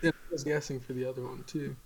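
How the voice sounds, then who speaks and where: A man's voice: medium pitch, steady tone, quick pace, gravelly, slightly rough, no room sound, poor audio. A man co-hosting a casual podcast, playful and self-mocking, laughing often. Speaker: podcast co-host.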